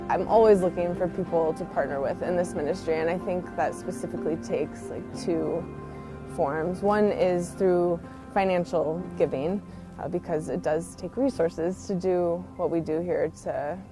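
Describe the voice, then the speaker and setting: A woman missionary teacher giving an earnest, informal personal testimony in a conversational way. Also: lowish voice. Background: background music.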